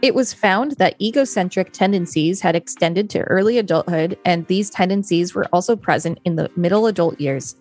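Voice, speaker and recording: A woman co-hosting a podcast, reading an encyclopedia article in a measured, explanatory way. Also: on the low side, a little mellow, soft background music. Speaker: podcast co-host. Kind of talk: reading an encyclopedia article aloud with brief chat.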